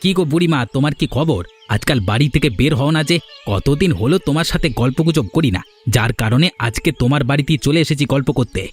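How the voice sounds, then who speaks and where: Younger village meddler, male actor, quick, slightly high voice, wheedling cartoon delivery. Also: faint music behind, close to an everyday voice. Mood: friendly, chatty. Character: meddling, ingratiating village schemer, a younger man. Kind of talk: Bengali cartoon fairy-tale acting.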